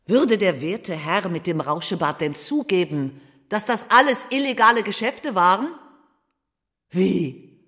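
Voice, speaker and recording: An actor playing a persistent, mockingly polite questioner in a radio play, halting with feigned confusion and sarcastic asides. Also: mid-range voice. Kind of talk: theatrical radio play monologue.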